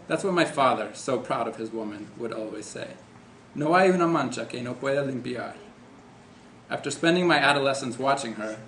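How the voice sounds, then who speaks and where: A man's voice: mid-range voice, a bit bright. A man reading a first-person short story aloud as an author. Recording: echoey room, faint background noise.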